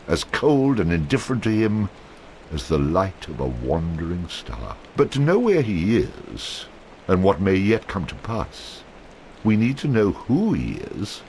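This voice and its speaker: An actor voicing a solemn male narrator for a game, with a measured, dramatic delivery. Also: on the low side, a little mellow, a little hiss or hum, exaggerated voice. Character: grandly solemn male narrator, prone to getting carried away. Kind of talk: scripted storybook narration.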